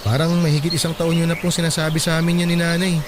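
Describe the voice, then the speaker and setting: A man's voice: a little high, level tone, fast, heavy background noise, lightly acted. A man playing a resentful young adult son in a Tagalog radio drama, curtly polite on the surface and pointedly sarcastic. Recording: loud music bed under dialogue.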